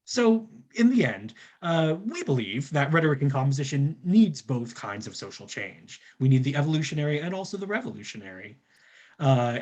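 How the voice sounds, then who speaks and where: A man giving a scripted academic presentation as a researcher, in an earnest, formal scholarly manner. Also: mid-range voice.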